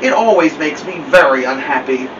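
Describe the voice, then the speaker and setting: Man voicing a glum storybook toad, high-pitched. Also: expressive, moderate pace, dull audio, natural voice. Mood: sad. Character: glum, defeatist male toad in children's storybook. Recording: distant mic, faint room noise.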